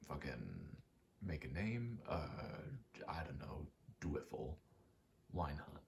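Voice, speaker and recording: A man rambling casually in a soft, half-whispered ASMR style, telling stories in slangy, meandering, sweary speech. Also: a bass voice, wildly swooping pitch, takes it slowly, creaky, a little hiss or hum. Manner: soft-spoken, often dropping into whisper. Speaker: ASMR video host.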